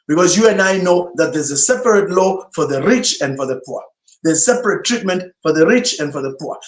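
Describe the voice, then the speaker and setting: A male pastor whose first language is Swahili, giving an emphatic sermon-style teaching talk full of rhetorical questions. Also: high-pitched. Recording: roomy echo.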